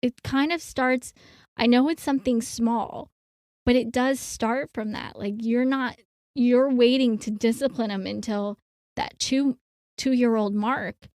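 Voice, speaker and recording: Chatty woman co-host, high voice. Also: steady tone, unhurried, a little mellow, no echo, studio quality. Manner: chatty, rambling with many fillers. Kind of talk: casual chat.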